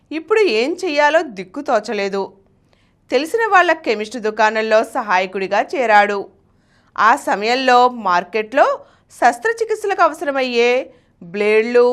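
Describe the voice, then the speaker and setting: Woman, Telugu accent, high voice, lively swooping intonation, scripted dramatic narration, emphatic delivery. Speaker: channel presenter reading a biography.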